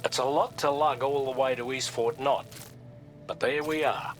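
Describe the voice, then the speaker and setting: A man's voice: medium pitch, fairly even intonation, normal speed, natural voice. An actor playing a grave, reflective man who carries old grief, speaking formally and precisely in a cinematic video game. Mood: businesslike. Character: grave, reflective seafaring man grieving his lost son. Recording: loud music under the voice.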